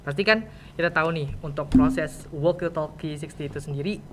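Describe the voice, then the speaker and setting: Teen boy hosting a podcast, jakarta accent, kinda high bright voice, chatty slangy questions, noisy background. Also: fairly quick. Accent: Jakarta Indonesian. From Indonesia. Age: teenager. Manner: chatty, colloquial, frequent interjections. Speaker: student podcast host. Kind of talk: casual interviewing.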